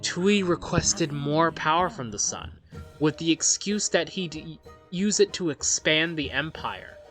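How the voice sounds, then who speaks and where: Slow, measured woman narrating game lore. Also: low-pitched, animated. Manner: measured, storytelling. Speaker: let's play narrator. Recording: game music behind the voice.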